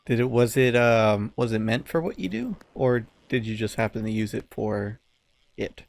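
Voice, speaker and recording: A man's voice: fairly low pitch, animated, normal speed, gravelly. A young man co-hosting a podcast, chatting in an informal, slangy way. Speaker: podcast co-host. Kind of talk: casual chat.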